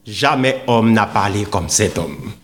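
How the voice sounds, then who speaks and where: A man preaching a sermon in an oratorical, emphatic manner, with deliberate pauses and repeated phrases. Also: lowish voice, animated, fairly bright tone. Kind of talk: sermon with scripture readings and rhetorical questions.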